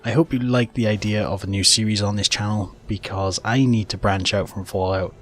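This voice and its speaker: A man's voice: fairly low pitch, fairly even intonation, a little fast, fairly bright tone, faint music behind. A man giving recap commentary over a video game as host of the video.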